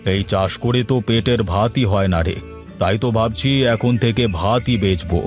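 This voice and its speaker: A man's voice: lowish voice, normal speed, soft background music, lightly acted. A man playing an easygoing village man in a story, talking in a familiar, musing, colloquial way. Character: easygoing village elder brother Pratap, would-be businessman farmer. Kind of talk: acted village story dialogue.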